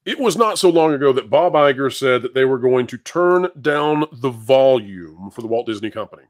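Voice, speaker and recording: A man's voice: medium pitch, animated, normal speed. A man giving opinionated live commentary as a panelist, theatrical and sarcastic in a declamatory way.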